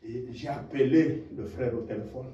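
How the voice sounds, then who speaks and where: A man preaching a sermon, impassioned, dropping to low murmurs and rising to shouted rhetorical questions. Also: fairly even intonation, normal speed. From DR Congo. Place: church hall. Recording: echoey, distant, dull lo-fi church recording.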